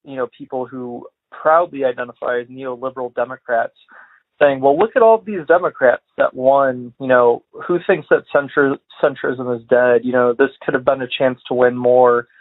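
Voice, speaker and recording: A man from Illinois leaving a voicemail for a podcast, talking in a measured, hesitant way with lots of fillers. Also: mid-range voice, fairly even intonation. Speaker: caller. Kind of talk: voicemail commentary. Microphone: phone. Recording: tinny phone-line voicemail.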